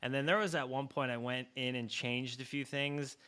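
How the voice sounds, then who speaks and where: A man's voice: mid-range voice, steady tone, normal speed, pushed and bright, clean tone. A man in his forties hosting a podcast, chatting casually in a rambling, joking way and laughing often. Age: 40s. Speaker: podcast host. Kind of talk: casual interview chat.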